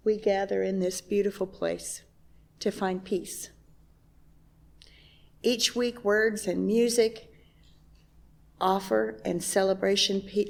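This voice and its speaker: A woman worship associate reading a closing benediction in a church, gently and slowly. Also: mid-range voice, gravelly. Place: church sanctuary.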